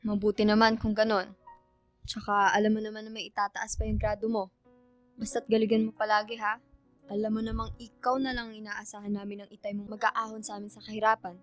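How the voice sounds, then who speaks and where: A woman's voice: mid-range voice, completely flat delivery, brisk, faint music behind, lightly acted. A woman voicing a demanding, guilt-tripping middle-aged mother with a Filipino accent, nagging and insistent, in a radio drama. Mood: nagging, expectant.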